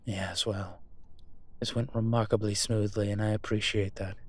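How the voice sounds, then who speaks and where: A man playing a suave, teasing demon professor in an audio roleplay, with a wry, conversational delivery and a husky voice. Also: on the low side, flat delivery, normal speed, natural voice. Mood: relieved, polite. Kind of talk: intimate audio roleplay acting.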